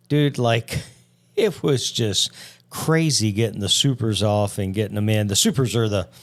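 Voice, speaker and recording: A man co-hosting a podcast, chatting in a laid-back, folksy way and dropping "man" and "dude" as he talks. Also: fairly low pitch. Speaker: podcast co-host. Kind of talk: casual chat.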